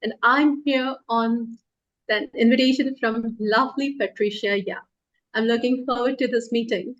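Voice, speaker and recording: Brief: gracious female guest from India, higher voice, lo-fi call audio. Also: steady tone, moderate pace. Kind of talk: self-introduction. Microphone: video call.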